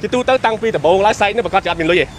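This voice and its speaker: Fast-talking man in a street interview. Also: high voice, crowd noise. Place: roadside at night, outdoors.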